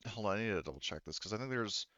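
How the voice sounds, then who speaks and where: A man narrating a tabletop roleplaying game as game master, informal and halting, often correcting himself. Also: fairly low pitch, animated, a bit bright, no room sound, muffled recording.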